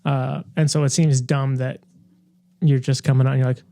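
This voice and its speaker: A man's voice: mid-range voice, flat delivery, normal speed, vocal fry, warm tone, dry recording. A man co-hosting a podcast in casual chat, speaking in an informal, slangy way. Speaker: podcast co-host.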